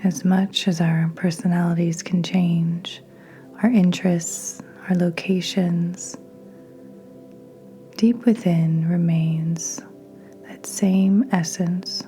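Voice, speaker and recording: A woman guiding a meditation in a soft, breathy near-whisper, gentle and soothing, with long unhurried pauses. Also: fairly low pitch, fairly even intonation. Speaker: podcast host and meditation guide. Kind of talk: guided meditation narration. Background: soft meditation music with singing bowls.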